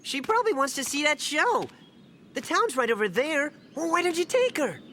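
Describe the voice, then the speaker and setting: A woman playing a tiny, cheeky, childish male elf companion in a video game dub, chatty and excitable. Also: high-pitched, normal speed, slight background noise.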